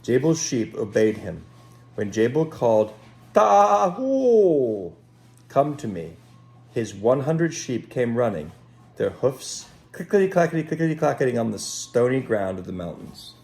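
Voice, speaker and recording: A man reading a children's story aloud in an expressive, dramatic way, pausing to speak directly to young listeners. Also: medium pitch. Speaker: Sunday school storyteller. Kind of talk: animated children's story read aloud with asides. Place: at home.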